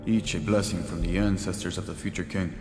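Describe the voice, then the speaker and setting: A man's voice: low voice, flat delivery, brisk, over music, natural voice. An actor voicing a dutiful rebel guardsman and surrogate father, speaking in an earnest, respectful, formal way in a video game cutscene. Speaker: rebel soldier at his post. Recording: echoey, reverberant game mix.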